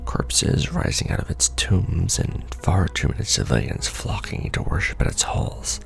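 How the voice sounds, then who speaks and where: A man performing an ASMR roleplay as a seasoned, wry secret-society official, talking softly and casually with a gravelly, breathy undertone. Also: low-pitched, sing-song, soft background music. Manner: wry, casual, unhurried explaining. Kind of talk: ASMR roleplay monologue, soft conversational briefing.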